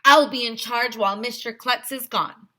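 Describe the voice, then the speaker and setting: Woman as a bright, brisk female vice principal. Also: on the high side, voice pushed up. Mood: upbeat, official.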